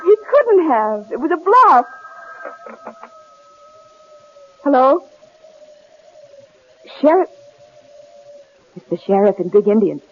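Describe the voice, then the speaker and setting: An actress playing a desperate, devoted wife, pleading and halting, in old-time radio drama style. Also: female voice, sits high, sing-song, muffled recording, full character voice. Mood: shaken. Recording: thin, noisy old radio broadcast.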